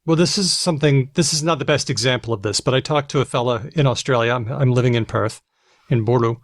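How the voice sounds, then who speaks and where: A man's voice: medium pitch, quick pace, no room sound, studio quality. A man with an American accent hosting a podcast, chatting casually and adding self-deprecating asides. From United States. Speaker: podcast host. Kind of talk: casual conversational chat.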